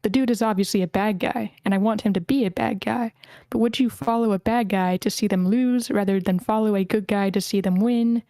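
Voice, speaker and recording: A woman's voice: medium pitch, steady tone, a little fast, mellow, dry recording. A woman hosting a script table read, moderating casually and reading aloud. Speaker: host of script table reads.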